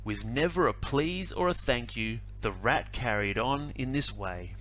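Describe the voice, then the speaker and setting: A male teacher reading a picture book aloud to children, animated and adding little asides. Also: medium pitch, slight background noise. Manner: animated, slow storytelling with asides.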